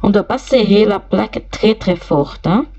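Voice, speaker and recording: Slow, gravelly woman with a cold. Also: mid-range voice, dark tone, lo-fi sound. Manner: slow, careful, talks viewers through each step. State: has a cold, stuffy voice. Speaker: sewing machine instructor. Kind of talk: step-by-step workshop demonstration.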